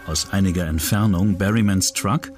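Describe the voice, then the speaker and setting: A man's voice: sits low, moderate pace. A man reading scripted true-crime documentary narration in pronunciation. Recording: music bed under the voice. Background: background music.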